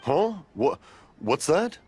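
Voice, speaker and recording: A man's voice: on the high side, very animated, slow pace, darkish tone, soft background music. A man playing a genial, rambling, hard-of-hearing elderly father in an anime game, oblivious and fond of storytelling. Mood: oblivious, jolly. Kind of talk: anime video game dialogue acting.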